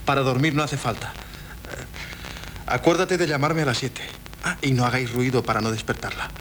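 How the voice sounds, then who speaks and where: An actor playing a nervous, flustered husband in a 1970s radio drama, hesitant and pleading. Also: male voice, mid-range voice, a little fast, a bit bright, close to an everyday voice. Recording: old radio broadcast with faint hiss.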